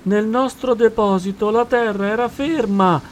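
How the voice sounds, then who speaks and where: A curious but timid dolphin from a rhymed children's tale, played by a man. He speaks cautiously and hesitantly, with an expressive, varied pitch. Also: a little slow, slight background noise. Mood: regretful. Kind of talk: rhymed children's story character.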